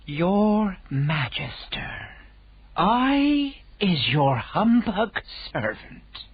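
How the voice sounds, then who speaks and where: A woman voicing a humble, kindly giant man who mangles his words, in slow grand speech. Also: sing-song, husky, no echo, lo-fi sound, full character voice. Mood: earnest. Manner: slow, grand, booming speech with broken grammar. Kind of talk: audiobook character voice.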